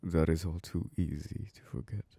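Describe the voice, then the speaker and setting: A man voicing an ancient, charming and possessive male vampire lord who hosts a masquerade, speaking in a slow, seductive, close-mic ASMR roleplay with soft chuckles. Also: steady tone, no room sound, full character voice. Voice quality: low gravelly voice. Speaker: castle host.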